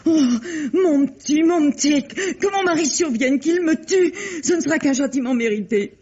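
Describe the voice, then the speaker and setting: An actress playing an anguished, self-sacrificing married woman in a French radio melodrama, breathy, sighing and pleading. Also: high voice, normal speed, mellow, close to an everyday voice. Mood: desperate pleading. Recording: muffled old radio drama recording.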